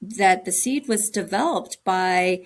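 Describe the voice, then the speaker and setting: A woman's voice: mid-range voice, takes it slowly. A middle-aged woman from Cambodia, a guest answering interview questions in an earnest, unscripted way, with frequent ums and restarts. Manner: warm, earnest, thinking aloud with many ums and restarts. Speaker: guest, agency director.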